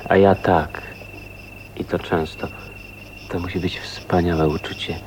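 An actor playing a calm, wistful man who speaks in an unhurried, understated way in a radio drama. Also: deep voice. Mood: quietly dreamy. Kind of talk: radio drama acting, quiet dialogue. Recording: faint noise, 1980s radio drama.

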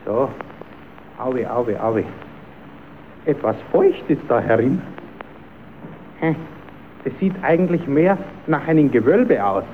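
An actor playing a naive, cheeky, quarrelsome puppet-show rascal with a southern German accent in an old radio play. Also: male voice, medium pitch, animated, slow, pauses often, slight background noise. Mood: wondering. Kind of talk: old radio play, comic dialect acting. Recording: tinny, narrow-band old radio recording.

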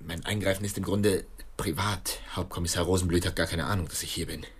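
An actor playing a dry, brisk male investigator in a crime radio drama, speaking in formal, precise phrases. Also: low-pitched, fairly even intonation, husky. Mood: curt, urgent. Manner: formal, precise, pedantic wording. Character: male doctor working police case privately, dry, brisk, precise.

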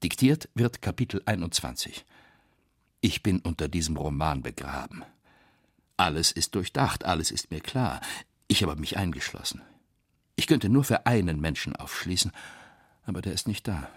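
An actor playing a weary, bitter novelist, reading in a deliberate, brooding literary radio-play manner with theatrical pauses. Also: male voice, deep voice, expressive, husky, no room sound, clean studio sound, heavily acted. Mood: brooding, resigned.

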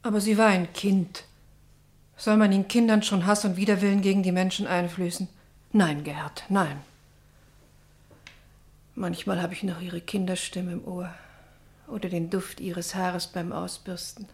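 A woman's voice: fairly low pitch, steady tone, unhurried, long pauses, darkish tone. An actress playing a middle-aged pastor's wife grieving for her daughter in a German radio drama, anguished, bitter and brooding. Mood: bitter grief. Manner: anguished, brooding, partly whispered.

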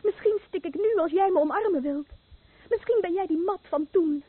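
A woman playing a frightened young wife recoiling from her stricken husband, hushed and intense, in a Dutch radio play. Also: extremely high pitch, moderate pace, dry recording. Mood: hushed, fearful. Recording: dry, narrow-band old radio recording.

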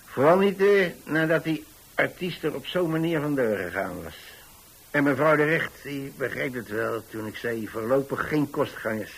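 A man's voice: mid-range voice, expressive, normal speed, fairly bright tone, slightly rough, low-quality recording. An actor playing an older, plain-spoken pensioner in a radio drama, telling his story in a hesitant, repetitive, folksy way. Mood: hesitant, polite. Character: rambling, plain-spoken old pensioner who takes in lodgers. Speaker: narrator of a radio play.

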